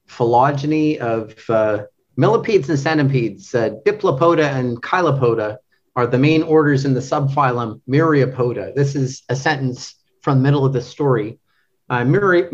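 Hesitant man, slightly dull recording. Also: medium pitch. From Canada. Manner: halting, searching for words, frequent hesitations. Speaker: literary translator, podcast guest. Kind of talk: thoughtful interview discussion of literature.

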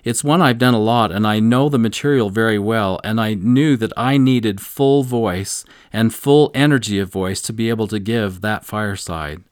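A man co-teaching a scripture lesson, earnest and measured, slowing down to stress key points and sharing stories from his own life. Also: fairly low pitch, dry recording, clean studio sound. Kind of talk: devotional teaching with personal stories.

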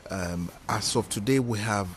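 A man with a Nigerian accent and Yoruba as his mother tongue, a comedian telling stories in an animated, emphatic way during a podcast interview. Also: on the low side, normal speed, a little hiss or hum. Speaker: guest comedian.

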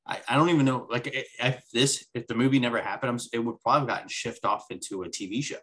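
A man's voice: medium pitch, quick pace, a bit bright, poor audio. A man co-hosting a podcast in casual chat, rambling through his points and slipping in dry jokes. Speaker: podcast co-host.

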